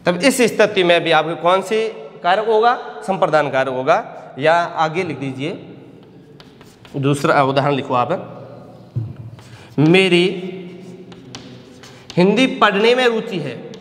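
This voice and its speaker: A male teacher giving a grammar lesson, dictating examples slowly, repeating phrases and answering his own questions. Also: on the high side, a bit bright. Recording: echoey room with long reverb.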